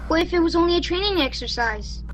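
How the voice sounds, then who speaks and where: A woman playing an eager, quick-thinking youngster on a spaceship crew in a sci-fi radio play. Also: very high-pitched, expressive. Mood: sly, prompting.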